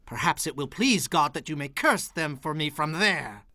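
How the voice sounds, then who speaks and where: An actor playing an agitated, imperious king, urgent and forceful, in a radio-style Bible drama. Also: on the high side, animated, moderate pace, no echo, clean studio sound. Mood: urging. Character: agitated, imperious king demanding curses on his enemies.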